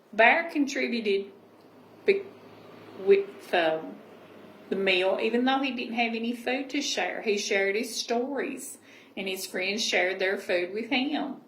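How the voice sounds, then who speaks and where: A woman reading a children's picture book aloud and pausing to explain with tag questions. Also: mid-range voice, fairly bright tone. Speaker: library storyteller. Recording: roomy echo, clear background noise.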